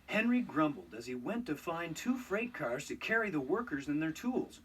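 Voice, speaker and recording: Guy reading a kids storybook, super animated, fairly high voice, expressive storyteller. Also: normal speed, slightly rough, distant mic. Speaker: storybook narrator. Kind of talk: scripted children's read-along narration.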